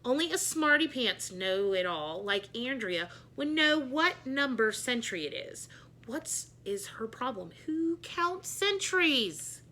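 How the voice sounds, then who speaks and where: Woman reading as a bright-voiced wisecracking schoolboy. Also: a little high, big pitch swings, unhurried, full character voice. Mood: defensive, boastful. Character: wisecracking schoolboy narrator who hates school. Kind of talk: children's book read-aloud.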